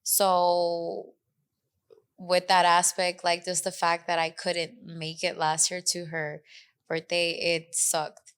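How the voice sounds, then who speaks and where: A woman's voice: fairly low pitch, completely flat delivery, slow, a bit bright, smooth. Earnest woman in her twenties, Mexican American accent. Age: 20s. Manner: earnest, switches into Spanish mid-sentence. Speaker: podcast co-host. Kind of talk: casual chat. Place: Dallas.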